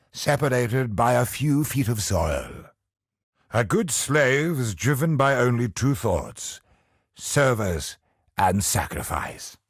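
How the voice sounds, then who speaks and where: An actor voicing a brooding, grandiose godlike villain in a video game, husky and gravelly, with an ominous, deliberate delivery. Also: male voice, medium pitch, no room sound, clean studio sound. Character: godlike male cosmic villain, brooding, grandiose, obsessed with perfection. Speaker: video game boss character.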